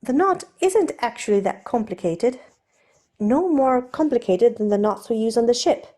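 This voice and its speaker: A woman voicing a flustered, fussily polite gentleman sailor who babbles hesitantly in an audiobook story. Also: fairly high pitch, expressive, normal speed, voice pushed up. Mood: bright, encouraging. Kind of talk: audiobook character voice.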